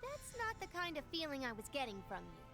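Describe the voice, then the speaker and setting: A woman's voice: high-pitched, wildly swooping pitch, fast talker, creaky, exaggerated voice. A woman voicing a pushy, sassy teenage girl in an anime-style video game, flippant and insistent, over music. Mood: insistent, probing. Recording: loud game music underneath.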